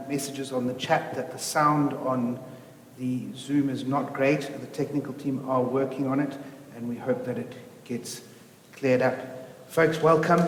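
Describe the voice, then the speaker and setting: Man hosting a panel in an echoey room. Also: medium pitch. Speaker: panel moderator. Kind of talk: informal live hosting and introductions. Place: indoor room, live event streamed online. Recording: echoey room with faint noise.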